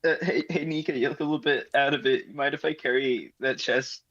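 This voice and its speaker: A man's voice: mid-range voice, fairly even intonation, a little fast, fairly bright tone. A man chatting casually as a player in a tabletop game, on dull, lo-fi audio.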